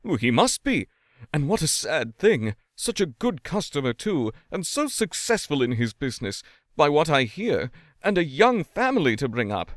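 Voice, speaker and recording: A man's voice: a little high, very animated, moderate pace, dry recording, studio quality. A man voicing a distressed, helpless hotel landlord who speaks in a plaintive, bewildered way in a storybook reading. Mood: bewildered dismay.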